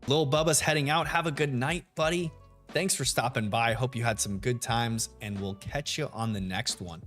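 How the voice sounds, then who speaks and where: A man hosting a live game stream, chatting casually with viewers and greeting them by name. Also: moderate pace. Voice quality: deep radio-style voice. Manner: laid-back, chatty, greets chat members by name. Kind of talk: live stream chat while shiny hunting. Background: soft lo-fi music.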